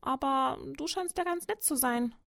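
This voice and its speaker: A small, cheerful hare from a children's storybook, acted out by a woman. The voice sits very high and sounds close and dry. It coaxes and questions with persistent, friendly eagerness. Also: normal speed, gravelly, dry recording. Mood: coaxing, friendly. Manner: lively, coaxing, inquisitive.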